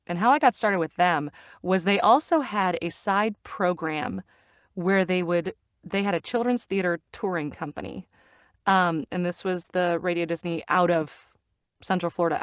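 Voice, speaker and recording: Chatty, smooth-voiced woman. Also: on the low side, dry recording, studio quality. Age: middle-aged. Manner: chatty, animated storyteller with frequent fillers and laughs. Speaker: guest. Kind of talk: casual interview chat.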